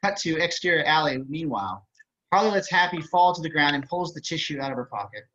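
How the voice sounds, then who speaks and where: A man's voice: fairly high pitch, animated, fast talker, fairly bright tone, a little hiss or hum. A man reading scripted stage directions as narrator of an amateur table read on a dull-sounding video call.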